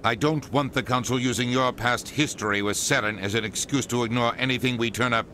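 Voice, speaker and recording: A man's voice: medium pitch, fairly even intonation, a little fast, sharp tone, slight background noise, close to an everyday voice. A man playing a stern, pragmatic middle-aged politician in a video game, curt and authoritative. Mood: curt, businesslike. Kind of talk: video game cutscene acting. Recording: music behind game dialogue.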